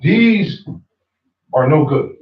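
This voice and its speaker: A man's voice: on the high side, lively intonation, extremely slow. A man teaching scripture in a small study room, explaining verses in a conversational way. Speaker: religious teacher. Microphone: far room camera. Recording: echoey, distant, dull room recording.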